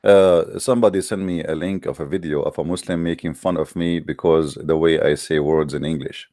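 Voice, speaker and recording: A man's voice: a bass voice, steady tone, brisk, dark tone, no echo, studio quality. A man, an English speaker, hosting a live call-in debate show in a hesitant but challenging way, with many fillers. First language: Arabic.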